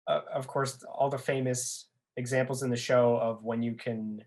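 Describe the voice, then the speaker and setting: Young man, medium pitch, podcast guest, casual chat, enthusiastic. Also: fairly even intonation, moderate pace, darkish tone.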